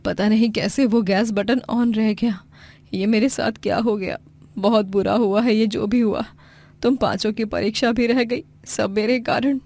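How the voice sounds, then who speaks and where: A woman acts out a distraught mother in a moral tale. Her voice is high and melodramatic, heavy with self-blame. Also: steady tone, measured pace, a little mellow. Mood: distraught, guilty. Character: burned, self-blaming mother of five daughters, distraught. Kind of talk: melodramatic story acting.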